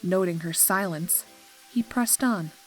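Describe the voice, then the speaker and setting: A woman's voice: lowish voice, measured pace, low-quality recording. A woman reading scripted story narration as the narrator. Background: music.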